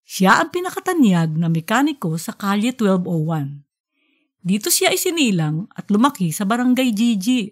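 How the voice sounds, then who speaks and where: A woman's voice: medium pitch, sing-song, normal speed. A woman voicing a giddy female school principal giving a formal, rambling speech in an audiobook story.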